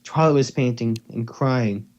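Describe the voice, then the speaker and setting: A slightly muffled online-call recording of a man with a mid-pitched voice. He reads and hosts amateur fan fiction in a casual, unpolished way. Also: a little slow, darkish tone. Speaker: narrator and co-host. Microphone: computer or headset mic on a voice call.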